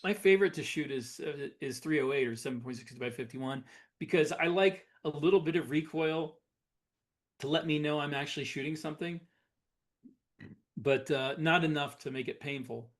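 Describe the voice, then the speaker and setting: Man podcast cohost, slightly high voice, explaining his take casually. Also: normal speed. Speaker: podcast co-host. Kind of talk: casual conversation.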